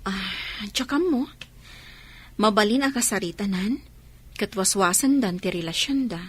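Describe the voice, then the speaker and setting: Breathy, hesitant wife. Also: female voice, medium pitch, lively intonation, a little slow. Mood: hopeful. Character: soft, forgiving, hesitant wife. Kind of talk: Ilocano radio drama acting.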